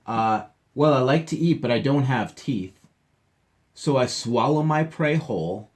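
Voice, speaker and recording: A man's voice: mid-range voice, lively intonation, measured pace, voice pushed up, natural voice. A man voicing a greedy, big-mouthed horned frog from a children's picture book, with emphatic relish.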